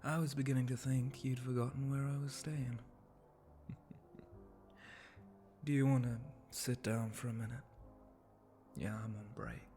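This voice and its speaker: Man voicing a breathy, flirty spider-monster bartender. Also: mid-range voice, steady tone, faint music behind, lightly acted. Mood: flirty, welcoming. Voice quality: breathy, often dropping to a whisper. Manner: slow, seductive, teasing drawl with soft chuckles. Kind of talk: intimate ASMR roleplay.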